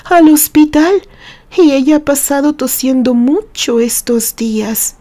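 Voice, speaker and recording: A woman's voice: sits high, measured pace, dark tone, voice pushed up. A woman voicing a worried, apologetic small boy who pleads for his little sister in an audio story. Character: worried pleading small redheaded orphan boy, protective big brother. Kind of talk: audio story character acting.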